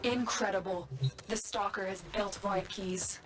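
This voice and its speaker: A woman playing a solemn, protective guide in a video game, giving grave, measured instructions with music behind her. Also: medium pitch, fairly even intonation, natural voice. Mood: urgent command. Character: solemn, protective, commanding female guide and mother figure. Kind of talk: video game character acting.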